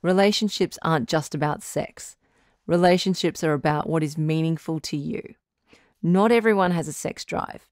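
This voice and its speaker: Low-voiced, reassuring radio advice doctor played by a woman. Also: close to an everyday voice. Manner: measured, reassuring, counselling. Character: calm, reassuring woman doctor hosting relationship advice show. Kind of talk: audio drama radio call-in acting.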